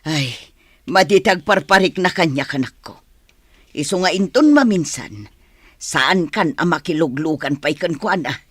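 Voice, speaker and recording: Female actor as protective, caring older Ilocano mother. Rough husky voice, very dry sound, drama delivery. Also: deep voice, animated, a little slow, no room sound, heavily acted. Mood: caring, concerned. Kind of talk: Ilocano audio drama acting.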